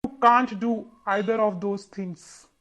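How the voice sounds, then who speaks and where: A woman's voice: medium pitch, steady tone, takes it slowly, a bit bright, highs cut off, pitched below the performer's own voice. A woman voicing a proud, boastful male wind in a children's storybook, with a challenging manner.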